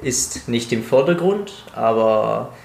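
A man in his mid twenties from Italy co-hosts a casual podcast. He talks slowly, with a gravelly, creaky edge to his voice. The recording is roomy, with some echo. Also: mid-range voice, animated, a little mellow. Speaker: podcast co-host, calisthenics coach. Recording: roomy echo, faint background noise.

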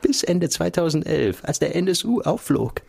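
An actor playing a middle-aged intelligence agency chief in a German political radio drama, guarded, insinuating and conspiratorial. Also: male voice, a little high, big pitch swings, normal speed, creaky, darkish tone, husky, full character voice.

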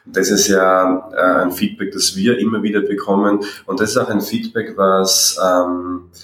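A man who trains people in NLP, talking persuasively and directly to the listener in a relaxed conversation. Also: deep voice, moderate pace, distant mic. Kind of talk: casual podcast conversation. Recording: roomy echo, away from mic.

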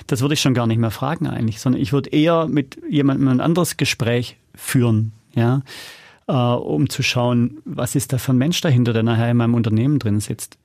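A middle-aged man speaks as an interview guest in a gravelly, creaky voice. He tells things in a colloquial, anecdotal way and tags his statements with short questions. The recording is dry, with no room sound. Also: medium pitch, a little fast, a little mellow, dry recording. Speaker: interview guest, audio drama producer. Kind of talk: casual interview conversation, anecdotal.

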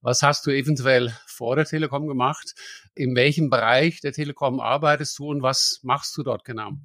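A man's voice: medium pitch, steady tone, moderate pace, a bit bright, no room sound, studio quality. A man hosting a podcast interview, friendly and conversational with a few hesitations. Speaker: podcast host.

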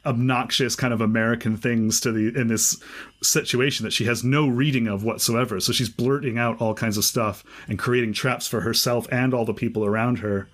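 Man, casual book talk, enthusiastic, filler-heavy, mid pitch. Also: moderate pace. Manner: animated, enthusiastic, many fillers like "like". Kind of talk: casual conversation.

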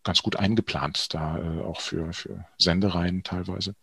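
A middle-aged man, a professional voice actor and news speaker, talking as an interview guest in a lively, expressive way with frequent hesitations. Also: deep voice, a little slow, creaky, breathy edge, dry recording.

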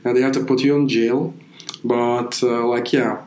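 A man's voice: medium pitch, level tone, measured pace, darkish tone. Rambling young man, roomy echo. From Afghanistan. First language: Persian. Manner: rambling, leans heavily on "like" as a filler. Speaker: interview guest. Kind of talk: casual chat. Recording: roomy, echoey room.

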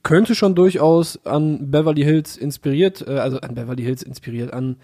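A man's voice: on the high side, fairly even intonation, moderate pace, dark tone. A young man in casual chat, hesitant and searching for words. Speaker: podcast co-host.

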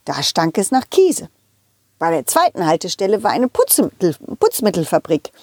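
A woman's voice: fairly low pitch, wildly swooping pitch, normal speed, a little mellow, no echo. A woman storyteller narrating a story for children. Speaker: puppeteer, storyteller.

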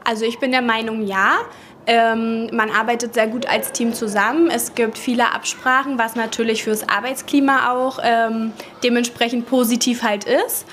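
A woman who works as a nursery teacher answers earnestly and pauses often on fillers. Her voice sits a little high. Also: steady tone, a bit bright, a little hiss or hum. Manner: earnest, many ähm fillers. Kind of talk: interview answers. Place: daycare centre.

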